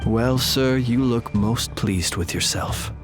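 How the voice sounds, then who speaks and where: An actor playing a composed, polite adventurer in a video game, questioning in a measured, dryly wry way. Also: male voice, mid-range voice, over music, lightly acted. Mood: calm, probing. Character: composed, courteous, probing male adventurer facing a villain. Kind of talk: video game character acting.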